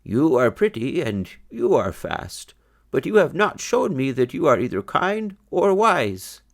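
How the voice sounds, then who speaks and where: A man voicing a kind, wise old grandfather rabbit in a storybook, gentle, measured and benevolent. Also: medium pitch, sing-song, gravelly, voice pushed up, exaggerated voice. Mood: judging kindly.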